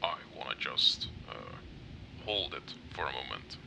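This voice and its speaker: A man voicing a gruff, impatient masked soldier in a comic dub, grumbling and deadpan. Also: very deep voice, unhurried, exaggerated voice. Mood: coaxing. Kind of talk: amateur comic dub voice acting. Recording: gritty track with background noise.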